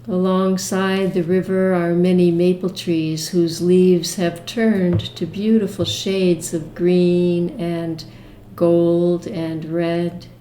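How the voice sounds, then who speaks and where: A woman leading a guided meditation with imagery, speaking in a gentle, soothing manner with long pauses. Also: on the low side, little pitch movement, echoey room.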